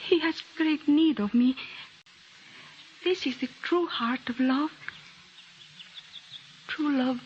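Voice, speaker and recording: An actress playing a mysterious young village woman of twenty, speaking formally and solemnly in an old-time radio drama. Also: high-pitched. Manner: formal, solemn, deliberate phrasing. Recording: dull, faintly noisy old radio recording.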